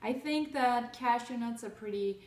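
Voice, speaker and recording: A woman's voice: on the high side, moderate pace, a little mellow, a little hiss or hum. A young woman from Russia demonstrates a recipe in her home kitchen, chatting casually with lots of fillers and restarts. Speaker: cooking vlogger. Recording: echoey kitchen, voice far from mic.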